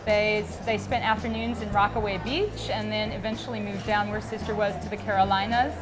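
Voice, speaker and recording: A woman's voice: medium pitch, little pitch movement, brisk, poor audio. A woman from Dallas, a native Greek speaker, talking through her background in a chatty way with many ums and restarts. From Dallas, Texas. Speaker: gallery owner. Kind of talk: interview at an art show. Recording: music and crowd behind. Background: crowded gallery event with music.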